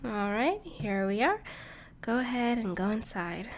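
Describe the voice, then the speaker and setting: A woman playing a gentle, caring dragon friend in an intimate audio roleplay, speaking reassuringly to the listener with some hesitation. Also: fairly high pitch, very animated, unhurried, a little hiss or hum. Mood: warm, hospitable. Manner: soft-hearted, reassuring, hesitant with small laughs.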